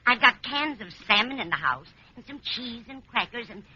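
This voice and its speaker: A woman's voice: a little high, animated, moderate pace, sharp tone, breathy edge, lo-fi sound, heavily acted. An American actress playing a motherly, scatterbrained landlady in a vintage radio comedy, chatty, reassuring and unflustered. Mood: cheerful, soothing. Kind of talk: 1940s-50s radio comedy acting. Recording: noisy, band-limited old radio recording.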